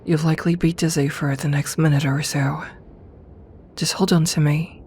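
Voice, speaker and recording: A woman playing a doting, teasing evil lamia queen in an ASMR roleplay, soft-spoken and unhurried, with formal phrasing and near-whispered murmurs. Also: low-pitched, little pitch movement, a little hiss or hum, natural voice. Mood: gentle, reassuring. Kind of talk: ASMR roleplay, intimate personal attention.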